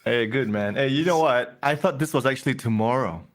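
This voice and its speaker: Relaxed Malaysian man on a video call. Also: medium pitch, a little fast. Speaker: guest collector. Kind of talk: casual video call chat.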